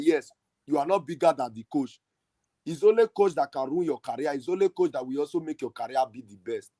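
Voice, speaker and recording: A man's voice: mid-range voice, a little mellow, no room sound. A man hosting a football fan podcast, arguing his point passionately in a casual heated debate. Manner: passionate, argumentative, emphatic.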